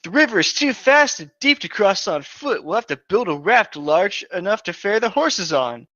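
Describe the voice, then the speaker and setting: A man's voice: high voice, very animated, moderate pace, bright, forceful tone, dry recording, voice pushed up, full character voice. A man voicing a stern, urgent male fantasy guide in a dramatic story reading. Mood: commanding. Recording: dull lo-fi mic.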